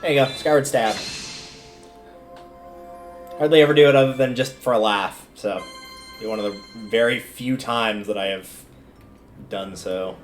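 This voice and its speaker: A man's voice: medium pitch, normal speed, pauses often. A man livestreaming a video game, giving casual commentary and thinking aloud in a conversational way as he plays. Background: video game music.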